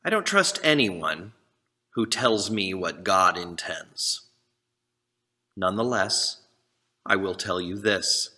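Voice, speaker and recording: Male service leader, unhurried and measured, reading verse to a congregation in a big reverberant space. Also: deep voice, expressive, bright, forceful tone. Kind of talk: introducing and reading a poem aloud to a congregation. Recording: long reverberant hall echo.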